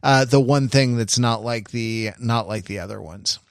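Man, mid-range voice, casual podcast chat, halting and repetitive phrasing, dry recording. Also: medium pitch, no echo. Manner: halting, circling, repeats phrases. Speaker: podcast host. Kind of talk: casual pop culture chat.

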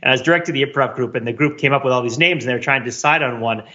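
Bright-voiced wry man, slightly muffled recording. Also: medium pitch, racing. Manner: discursive, wry, academic vocabulary. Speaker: podcast host. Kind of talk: casual intellectual podcast discussion.

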